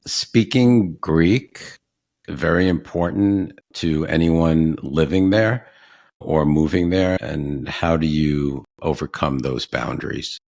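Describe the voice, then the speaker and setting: A man hosting an interview in a very deep voice with plenty of gravelly fry. He talks slowly, drawing out his questions. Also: darkish tone. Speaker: podcast host.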